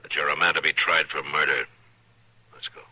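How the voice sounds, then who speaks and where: An actor playing a stern, unshaken frontier marshal in an old-time radio western, gruff, laconic and grave. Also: male voice, a bass voice, steady tone, a little fast, background noise. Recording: vintage radio drama recording.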